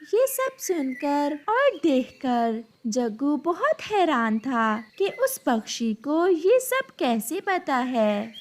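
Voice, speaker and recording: A woman's voice: high-pitched, sing-song, slow. A woman narrating a scripted cartoon story as its storyteller.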